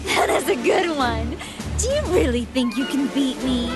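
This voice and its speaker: A woman's voice: sits high, animated, slow, over loud music. A woman voicing a whimsical, sweet-toothed female android villain in an anime game, with a playful, nonchalant, theatrical manner. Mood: amused, taunting. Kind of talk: anime game cutscene acting.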